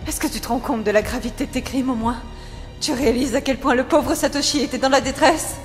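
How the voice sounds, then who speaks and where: A woman's voice: high voice, steady tone, fairly quick, close to an everyday voice. A woman voicing a cruel, vengeful, unhinged teenage girl villain in a French anime fandub, taunting and theatrically menacing. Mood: gloating cruelty. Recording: reverberant hall sound, clear music behind.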